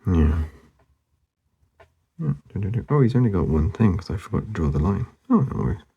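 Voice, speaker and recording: A man narrating casually as he draws, laid-back and murmuring, with a husky, gravelly voice that often trails off. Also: low voice, sing-song, brisk, warm tone, muffled recording. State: losing his voice, hoarse. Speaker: artist hosting a drawing channel. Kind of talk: casual narration while drawing.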